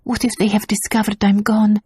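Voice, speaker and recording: An anxious young noblewoman, pleading breathlessly in a raised voice, as a woman reading a storybook puts her on. The tone is a touch husky, recorded close and dry. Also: on the high side, steady tone, normal speed, darkish tone, no room sound, pitched above the performer's own voice, close to an everyday voice.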